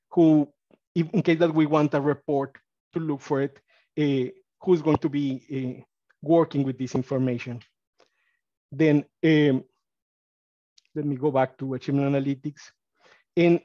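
A man's voice: on the high side, no room sound. A man from Mexico with a Spanish accent, presenting college data on a webinar, with frequent fillers and restarts. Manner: halting, many fillers and restarts, gets excited. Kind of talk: webinar presentation with screen share. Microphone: computer mic on video call. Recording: dry, slightly dull video-call audio.

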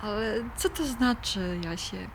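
A woman's voice: mid-range voice, animated, unhurried, vocal fry, background noise. A woman playing a skeptical but fond middle-aged mother being courted, questioning incredulously in an audio drama. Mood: soft, fond.

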